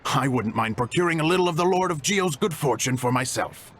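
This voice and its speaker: A man playing a fussy, enthusiastic and reverent gentleman in a video game, effusive and courteous, with a creaky voice. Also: mid-range voice, lively intonation, a little fast, a little hiss or hum. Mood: eager, courteous. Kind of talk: animated game voice acting. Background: background music.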